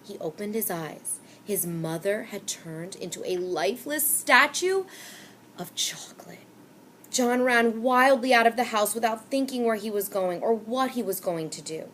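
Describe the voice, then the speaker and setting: A woman reading a children's novel aloud with expressive storytelling. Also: mid-range voice, a little slow, a little hiss or hum. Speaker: reader.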